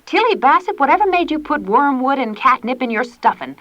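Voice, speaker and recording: A demanding farm mother from an old storybook, sharp and exacting, as a woman reader voices her. Her questions ring high and pointed. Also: very animated, brisk, dry recording, lo-fi sound.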